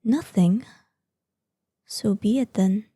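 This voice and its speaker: A woman playing an ancient, proud female dragon in a scripted audio roleplay, imperious in manner, with a breathy voice that lapses into whispers. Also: medium pitch, warm tone, no room sound, studio quality. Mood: languid threat. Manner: slow, imperious, savoring threats. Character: ancient, menacing, proud female dragon guarding hoard, lonely, excitable.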